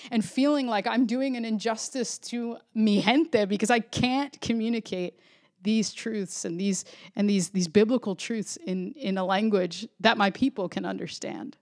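A woman pastor delivers a sermon with an earnest, reflective tone. Her voice sits a little on the high side. She often circles back and restarts her phrases as she works through an idea. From Florida, US.

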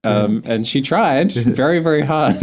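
A man's voice: fairly high pitch, darkish tone, slight background noise. A young man from Australia with a mild Australian accent, answering interview questions in a chatty way, with frequent laughs and restarts. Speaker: guest.

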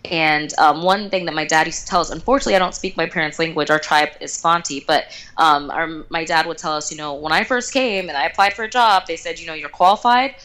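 A woman from Houston, Texas, telling her story in an animated, conversational way as an interview guest. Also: low-pitched, fast, hardly any pauses, low-quality recording. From Houston, Texas, United States. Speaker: interview guest, startup founder.

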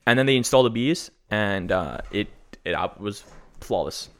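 Young man, lowish voice, loose rambling podcast talk, sniffly nose, casual swearing. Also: animated, moderate pace, a bit bright. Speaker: guest beekeeper.

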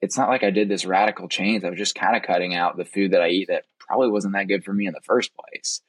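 Low-pitched young man chatting casually, dry sound. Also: steady tone, fast, husky, no echo. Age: young adult. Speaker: podcast guest. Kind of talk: casual conversational personal storytelling.

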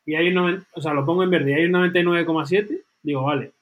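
Casting note: man, fairly high voice, animated co-host who keeps checking in with the listener. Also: quick pace, phone-quality sound. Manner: animated, peppers speech with tag questions. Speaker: podcast co-host. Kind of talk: casual chat.

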